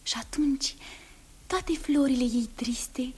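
A breathy, high voice of a loving young bride in an old radio play. She speaks softly and intimately, close to a whisper. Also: fairly even intonation. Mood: tender. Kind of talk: 1960s radio drama acting.

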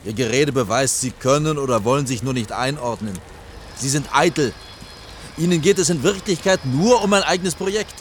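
An actor playing a pragmatic, middle-aged senior architect in a radio drama, admonishing and matter-of-fact. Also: male voice, medium pitch, moderate pace, close to an everyday voice. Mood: exasperated. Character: stern, pragmatic older male chief architect. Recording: 1980s radio play, outdoor background noise.

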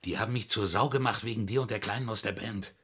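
An actor playing a bitter, accusing small-time crook in a radio drama, husky-voiced, coarse and confrontational. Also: male voice, lowish voice, quick pace, a bit bright.

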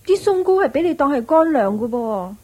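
Radio play caring, careworn young mother, Hong Kong Cantonese accent, high voice with a breathy edge, solicitous and resigned. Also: sing-song, brisk, darkish tone. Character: hard-working, caring young wife and mother. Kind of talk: Cantonese-language Buddhist radio drama acting.

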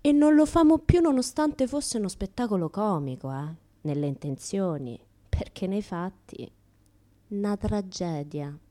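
A woman's voice: mid-range voice, very animated, measured pace, warm tone. An actress playing a brash, unashamed, chatty woman with a Roman accent in a comic radio monologue, talking in a colloquial, teasing way. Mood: deadpan complaining. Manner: colloquial, teasing, mimics other people in dialogue. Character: brash, chatty naked woman who turns up everywhere, unashamed.

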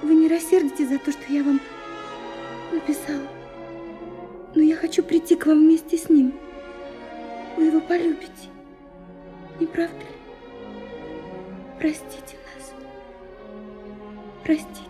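Nastenka, high-voiced pleading young woman. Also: little pitch movement, measured pace, pauses often. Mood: tender, imploring. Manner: emotional, imploring, hushed at moments. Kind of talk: literary radio drama monologue. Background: music behind the voice.

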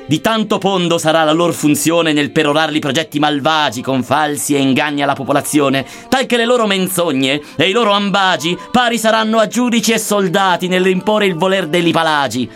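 A man's voice: fairly high pitch, animated, a little fast, hardly any pauses, a bit bright. A man. His delivery is solemn and theatrical, set over music. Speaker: reader of verse. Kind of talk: declaimed recitation of archaic Dantesque verse. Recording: music under the voice.

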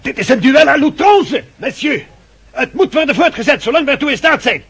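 Haughty, gravelly, high-pitched French count. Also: male voice, expressive, brisk, exaggerated voice. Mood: defiant, strained. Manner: haughty, formal, sharp-tongued. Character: proud, hot-tempered French count, stubborn duellist. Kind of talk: costume radio drama acting. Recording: old radio play recording.

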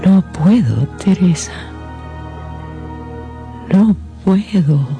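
A woman playing a grief-stricken older mother in a radio drama, with a breathy, halting delivery. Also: lowish voice, expressive, dark tone, soft background music, heavily acted. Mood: grieving, desolate. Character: grief-stricken elderly mother of a drowned son. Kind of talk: Spanish-language radio drama acting.

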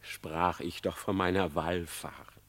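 A man playing a sly, flattering fox in a radio play, with a theatrical, wheedling, unctuous delivery. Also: low-pitched, slow, slightly rough, exaggerated voice. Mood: theatrical excuse. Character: sly, flattering male fox, scheming husband and uncle. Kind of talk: German radio play verse drama.

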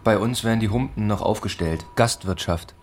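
A man narrating a literary radio play in deliberate, measured short phrases. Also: fairly low pitch, darkish tone, slight background noise, natural voice.

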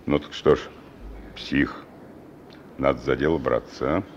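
An actor playing a sly, menacing killer and gang leader with a gravelly voice, in a Soviet-era radio drama. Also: male voice, a bass voice, fairly even intonation. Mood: sly menace. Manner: slow, taunting, chuckling criminal slang. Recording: dull, noisy old radio recording.

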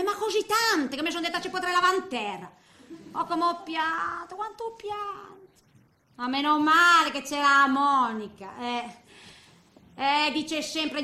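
A high, sharp-edged old woman of eighty, tells her story on stage in a regional Italian accent. She is sentimental and feisty, rambling in colloquial exclamations and muttered asides, and the room rings with echo. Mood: tearfully moved. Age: middle-aged. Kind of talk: theatrical comic monologue. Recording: echoey stage recording with faint noise.

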